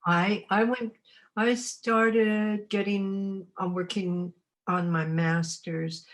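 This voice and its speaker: A woman in her eighties from Montana, recalling her life in an oral history interview. She talks unhurriedly and reflectively, often pausing to find her words. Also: medium pitch, little pitch movement. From Montana, United States. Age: 80s.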